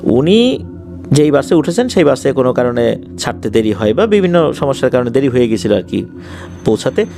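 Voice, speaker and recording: A man's voice: mid-range voice, very animated, a little fast, vocal fry, dark tone. A man with a Bangladeshi accent narrates paranormal incidents in a conversational, suspenseful way, explaining and repeating details as he goes. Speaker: horror story narrator. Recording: faint music behind the voice. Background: faint background music.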